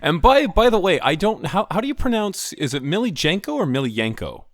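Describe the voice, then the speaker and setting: Chatty interview host, dry sound. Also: male voice, sits high, very animated, a little fast, no room sound. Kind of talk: casual interview questions.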